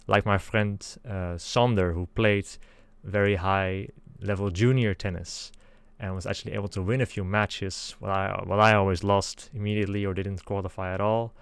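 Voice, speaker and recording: A young Dutch man speaks in a lowish voice with a Dutch accent. He talks earnestly and carefully, hedging his views, as he hosts his own channel. The recording is close and dry. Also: steady tone, moderate pace, vocal fry, clean tone, no echo. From Netherlands. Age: 20s. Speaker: channel host. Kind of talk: scripted monologue.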